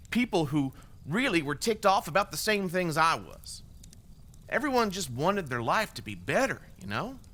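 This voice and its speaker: A man's voice: on the high side, sharp tone, heavily acted. An actor playing a remorseful, bitter former extremist gunman giving testimony in an audio drama, in a blunt, wry, profane conversational way.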